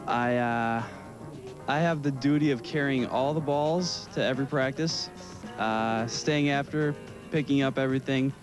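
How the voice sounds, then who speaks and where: Young man, American accent, casual interview, hesitant delivery, mid pitch, music behind. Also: a little slow. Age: young adult. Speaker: soccer player being interviewed.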